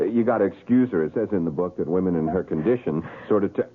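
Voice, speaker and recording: Earnest young husband, lowish voice. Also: male voice, fast talker. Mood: bashful. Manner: artless, polite, slightly bashful. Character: earnest, naive young husband, boyish and grateful. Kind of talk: old-time radio drama acting. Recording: muffled narrow-band old radio recording.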